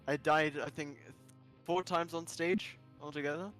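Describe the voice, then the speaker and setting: Higher-pitched man streaming a visual novel. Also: fairly even intonation, a little slow, a bit bright. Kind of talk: casual live stream chat and read-aloud narration. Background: music.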